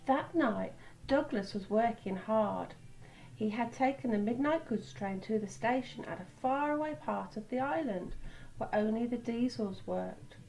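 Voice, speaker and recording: A woman reading a picture book aloud to children in a teacherly way, pausing for asides about the pictures. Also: a little high, gravelly, a little mellow, low-quality recording. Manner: teacherly, pointing out pictures and repeating words.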